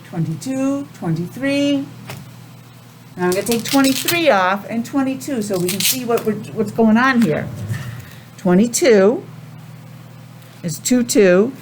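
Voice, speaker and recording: American woman, children's teacher, slow pace, lively swooping pitch, sing-song questions, noticeable background noise. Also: poor audio. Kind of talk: children's calendar and weather lesson.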